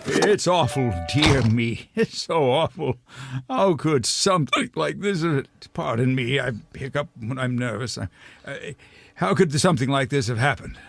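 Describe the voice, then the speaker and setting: A man's voice: medium pitch, animated, a little slow, vocal fry, a little hiss or hum. A man playing a nervous, well-mannered otter archaeologist in a comic radio drama, fussy, genteel and hesitant. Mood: nervous, flustered.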